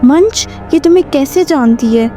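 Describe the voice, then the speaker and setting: Woman voicing a frantic high-pitched mother. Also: expressive, moderate pace, warm tone, soft background music. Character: frightened young mother pleading for her child.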